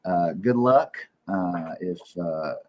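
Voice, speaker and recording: A man's voice: sits low, slow, muffled recording, slight background noise. A man with an American accent chatting about politics as a panelist in a laid-back, blunt way. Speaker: political talk show panelist. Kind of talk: casual political chat, profane.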